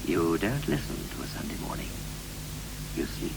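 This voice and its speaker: Theatrical husband, widely swooping delivery. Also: male voice, low voice, sing-song, moderate pace, gravelly, loud music behind the voice, full character voice, low-quality recording. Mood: reflective. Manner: theatrical, clipped rhythmic phrases. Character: John, restless husband wistfully recalling youth and marriage. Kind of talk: 1960s BBC radio play, poetic stylised dialogue. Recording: 1960s radio recording with hum, hiss.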